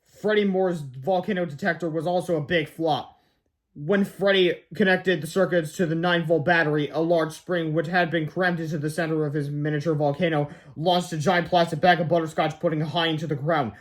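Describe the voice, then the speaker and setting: A man with a high-pitched voice narrates a children's storybook aloud. He works as a storyteller would, reading from the written text. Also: fairly even intonation, a little fast. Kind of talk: scripted children's book narration.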